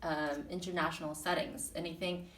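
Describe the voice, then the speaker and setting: A woman's voice: lowish voice, little pitch movement, moderate pace, fairly bright tone, a little hiss or hum. A woman from the Philippines and Guam in her thirties, a teacher answering interview questions thoughtfully with many fillers and restarts. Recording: echoey room, mic at a distance.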